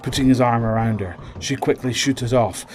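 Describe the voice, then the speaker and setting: A man's voice: medium pitch, fairly quick, background noise. A man narrating a scripted audio drama in a dramatic storytelling style. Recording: dramatic music bed behind voice.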